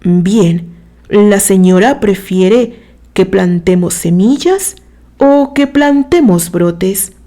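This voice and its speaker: A woman reads the part of a male gardener in an audio story. He is courteous and practical, explaining patiently to his employer. The voice is mellow and unhurried. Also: medium pitch, animated. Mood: polite, practical. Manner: polite, explanatory.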